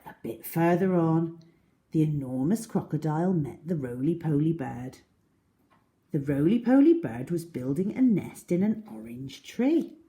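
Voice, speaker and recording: A woman narrates a children's story in a slightly low voice. She is dramatic and deliberate, taking her time and varying her pitch widely. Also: animated, dark tone. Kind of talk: expressive scripted story narration.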